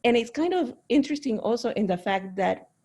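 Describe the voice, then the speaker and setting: A woman's voice: fairly high pitch, lively intonation, a little fast, darkish tone. A woman speaking earnestly in a panel discussion over a video call, reflecting on her own experience. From Caribbean, raised in Miami. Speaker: panel participant. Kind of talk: discussion remarks.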